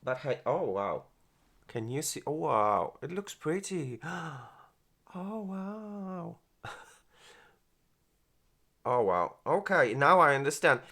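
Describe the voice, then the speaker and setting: Slow, gushing man reviewing makeup. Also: a little high, very animated, pushed and bright. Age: 40s. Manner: gushing, exclaims and laughs often; halting non-native phrasing with many restarts. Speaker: beauty vlogger. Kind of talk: product review and makeup tutorial.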